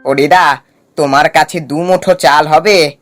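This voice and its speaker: A man voicing a poor male day-labourer in a cartoon story, speaking slowly and humbly. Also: fairly high pitch, fairly even intonation, fairly bright tone, faint music behind. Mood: pleading. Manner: pleading, slow.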